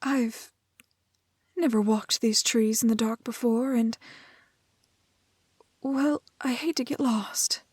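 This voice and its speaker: A woman playing a gentle, curious young village maiden in a romantic fantasy audio roleplay, with a soft, breathy voice and halting, old-fashioned polite phrasing. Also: fairly high pitch, no room sound, studio quality. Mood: timid, polite.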